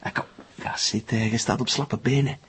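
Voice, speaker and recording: An actor playing an obsessive, menacing Flemish captor in a television crime drama, coaxing with sing-song mock tenderness. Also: male voice, mid-range voice, fairly even intonation, moderate pace, background noise, close to an everyday voice.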